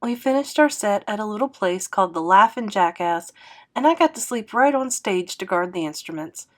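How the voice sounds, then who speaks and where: A woman reading a children's novel aloud as a teacher, in an expressive narrating style. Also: medium pitch, normal speed.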